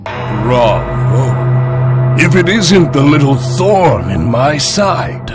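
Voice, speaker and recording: A man's voice: mid-range voice, sing-song, music in the background. Man playing a smug, gloating supervillain. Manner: mocking, theatrical, deliberate. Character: smug gloating villain plotting to destroy the world.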